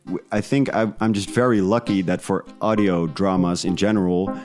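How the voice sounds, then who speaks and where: Deep-voiced man in a casual interview. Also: steady tone, moderate pace, a little mellow, soft background music. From Netherlands. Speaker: podcast creator, interview guest.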